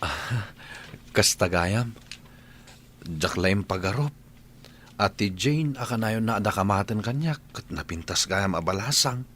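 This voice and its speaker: A man's voice: fairly low pitch, expressive, a little slow, long pauses, a bit bright, a little hiss or hum. A man with an Ilocano accent playing a bashful bachelor son who is courting, in a radio drama. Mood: shy courting.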